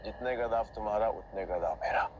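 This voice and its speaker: An actor playing a swaggering, taunting gambler villain in an audio drama, talking in mocking slang. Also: male voice, lowish voice, brisk, background noise, lightly acted. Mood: cocky, taunting. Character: cocky, taunting male gambler villain, destructive. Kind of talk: Hindi audio drama acting. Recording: music behind the voice.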